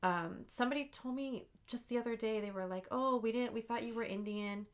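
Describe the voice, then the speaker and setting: A woman's voice: a little high, a little fast. A woman talking as a guest in a casual podcast conversation. She comes across as thoughtful and a little self-deprecating.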